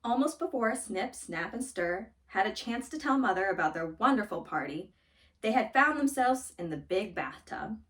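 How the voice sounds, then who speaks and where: A woman reading a children's story aloud in an expressive, dramatic storytelling manner. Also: mid-range voice, normal speed, far from the mic. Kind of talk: scripted storybook read-aloud.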